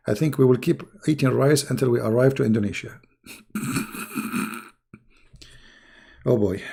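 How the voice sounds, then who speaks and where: Hoarse, taunting man with gravelly voice. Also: medium pitch, dark tone. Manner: taunting, insulting, rambling. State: hoarse, losing his voice. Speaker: online religious commentator. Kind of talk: mocking casual monologue.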